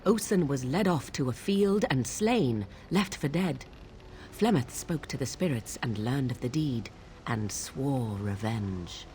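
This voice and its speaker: An actress playing an aloof, sardonic young witch of the wilds in a fantasy video game, with formal archaic diction and a deliberate, theatrical delivery. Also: extremely low pitch, expressive, warm tone, a little hiss or hum, exaggerated voice. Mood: storytelling, cool.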